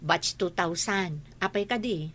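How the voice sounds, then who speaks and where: A woman's voice: on the low side, a little slow, a bit bright. An actress playing a wistful, proud mother with old regrets in an audio drama. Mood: hesitant. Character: wistful, proud mother who never finished school. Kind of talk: Ilocano audio drama acting.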